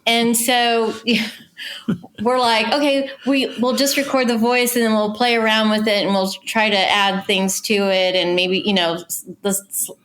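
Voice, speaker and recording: A middle-aged or older woman telling industry stories in a casual, animated, chatty way as an interview guest. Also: a little high, a little slow. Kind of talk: casual conversational storytelling.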